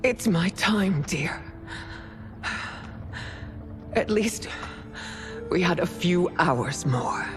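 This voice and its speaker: Game empress: proud mother, slow and expressive delivery, loud score behind. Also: lowish voice. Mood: tender, fading. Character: dying warrior empress, a proud villainous mother. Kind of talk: video game cutscene acting. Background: loud music.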